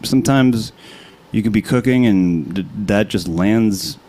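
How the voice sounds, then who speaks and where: A man co-hosting a comedy podcast, crude and sarcastic, swinging from tired mumbling to loud rants. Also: lowish voice, unhurried, poor audio. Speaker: podcast co-host. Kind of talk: casual comedic chat.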